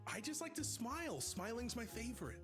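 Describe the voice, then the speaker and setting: A man acting a naive, excitable grown man in an elf suit at a table read of a comedy script, childlike, eager and guileless. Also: very high-pitched, brisk, faint music behind, full character voice. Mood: cheerful, stubborn.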